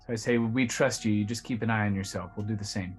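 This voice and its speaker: Lowish, even-toned male roleplayer. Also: fast, faint music behind. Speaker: tabletop game player. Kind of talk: in-character roleplay narration.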